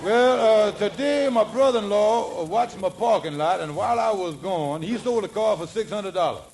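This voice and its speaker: An actor playing a wheedling, stammering schemer who mangles big words in an old radio sitcom. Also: male voice, sits high, animated, moderate pace, gravelly. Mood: flustered. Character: scheming, fast-talking lodge schemer dodging trouble. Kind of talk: 1950s radio sitcom acting. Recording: noisy old radio comedy recording.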